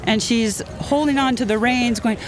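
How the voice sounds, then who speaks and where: A woman in her fifties, a roundup rider, telling stories in a lively way and laughing easily during a casual outdoor interview. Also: fairly high pitch, normal speed. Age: 50s. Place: outdoors at a busy event. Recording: noisy outdoor field recording.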